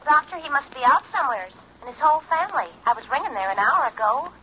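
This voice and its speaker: A woman's voice: sits high, expressive, fast, pushed and bright. A woman playing a small-town telephone operator in a 1940s radio drama, heard over a phone line. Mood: matter-of-fact. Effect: telephone filter. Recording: tinny, hissy old radio phone line.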